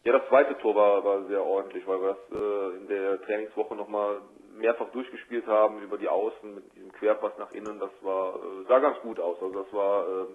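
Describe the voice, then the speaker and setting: Low, even-voiced man on phone line. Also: brisk, few pauses. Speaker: football coach, interviewee. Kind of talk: phone interview, spontaneous sports talk. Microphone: telephone. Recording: tinny, band-limited telephone line.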